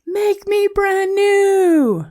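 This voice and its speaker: A woman's voice: very high-pitched, animated, very slow, dark tone, dry recording, studio quality, voice pushed up, full character voice. A woman voicing a lovable, cheerful, dramatic snowman who is forever cold, in a children's storybook read-aloud. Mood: pleading.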